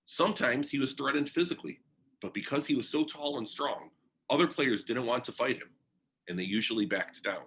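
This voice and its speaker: A man reading a biography aloud in an expressive storytelling manner. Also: medium pitch, a little fast, slight background noise, low-quality recording. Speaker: reader of a biography. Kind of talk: read-aloud biography narration.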